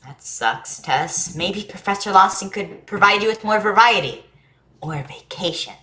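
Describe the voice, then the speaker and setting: A woman's voice: medium pitch, expressive, normal speed, sharp tone. A woman playing a curious, earnest young boy in a staged drama reading, with an informal, questioning way of talking. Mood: friendly, amused.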